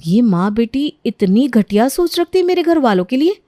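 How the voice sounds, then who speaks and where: A woman's voice: a little high, big pitch swings, moderate pace, dark tone, dry recording, clean studio sound. A woman voicing a proud, defiant young bride in a cartoon family drama. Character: proud, defiant young bride who suspects her in-laws.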